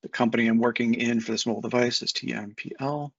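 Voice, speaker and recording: A man in his thirties walking through a software setup on a screen share, talking casually step by step with fillers, recorded on a desk mic at home. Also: mid-range voice, steady tone, fast talker, husky, dry recording. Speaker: software consultant. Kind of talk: screen-share tutorial walkthrough. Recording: dull lo-fi dry sound.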